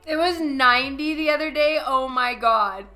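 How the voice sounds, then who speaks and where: A woman with a head cold talks slowly over quiet background music as she paints. Her voice is hoarse and half gone, yet it sits fairly high and bright. She keeps thanking her viewers and breaks into frequent laughs. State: hoarse from a cold, voice partly lost. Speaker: digital comic artist streaming. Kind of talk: casual livestream commentary while painting.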